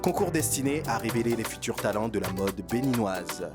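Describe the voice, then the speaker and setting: A man's voice: lowish voice, animated, fairly quick, over music. A man giving a formal, scripted voice-over narration.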